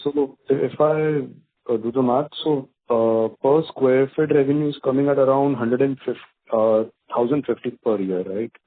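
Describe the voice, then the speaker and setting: A man, an analyst working through revenue figures and confirming each step. His voice sits at a middle pitch. It is heard over a tinny phone line. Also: normal speed. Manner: probing, checks each figure with "right?". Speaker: analyst asking questions. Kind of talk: earnings call questions.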